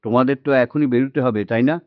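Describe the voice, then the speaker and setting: In a dry, slightly dull recording, an actor plays an old Bengali father. He is resigned and sardonic, with a medium male pitch, in radio drama style. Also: steady tone, fast talker, dry recording, lightly acted. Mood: wry, sardonic. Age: older.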